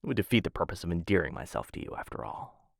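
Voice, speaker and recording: A low-voiced, smitten assassin played by a man in a boyfriend ASMR roleplay. His delivery swings widely in pitch, with a gravelly fry. He speaks in polished, courtly phrases and drifts into hesitant, softer asides. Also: big pitch swings, quick pace, no room sound, clean studio sound. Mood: suave, courting. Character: smitten male assassin of noble house, suave, courtly, playful. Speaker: voice actor in a boyfriend roleplay audio. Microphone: close studio-style mic.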